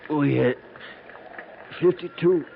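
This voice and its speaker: Dying, faltering frontier survivor. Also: male voice, a little high, creaky. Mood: dazed, dying. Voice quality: weak, faltering voice. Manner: broken phrases, halting repetitions. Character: gravely wounded male wagon train survivor, dazed and dying. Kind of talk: 1950s radio western acting. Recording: tinny, noisy 1950s radio transcription.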